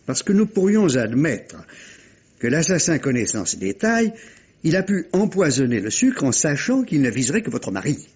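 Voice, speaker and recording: A man's voice: a little high, very animated, normal speed, a little mellow, husky. A man playing a patient, methodical police inspector in 1950s French radio mystery style, probing and sometimes hesitant. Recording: muffled vintage radio drama recording.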